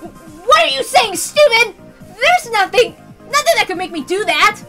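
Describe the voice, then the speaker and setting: A woman's voice: very high voice, big pitch swings, moderate pace, a bit bright, soft background music, voice pushed up, heavily acted. A woman voicing a proud, easily flustered teenage boy athlete with a secret, dramatic and panicky, in a visual novel reading. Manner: dramatic, panicked wailing and protesting. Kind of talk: animated visual novel read-aloud.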